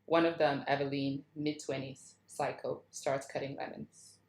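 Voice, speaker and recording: A woman's voice: very deep voice, level tone, measured pace, darkish tone, slight background noise. A woman narrator reading screenplay stage directions aloud. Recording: roomy, echoing sound.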